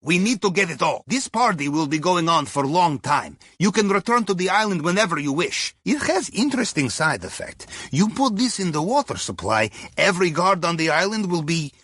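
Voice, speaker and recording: High, raspy Russian heist planner. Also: male voice, sing-song, brisk, hardly any pauses, fairly bright tone, no room sound, studio quality, exaggerated voice. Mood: businesslike, urgent. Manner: conspiratorial, wry, clipped non-native phrasing. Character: jovial, crafty Russian submarine captain and heist planner. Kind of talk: video game mission dialogue.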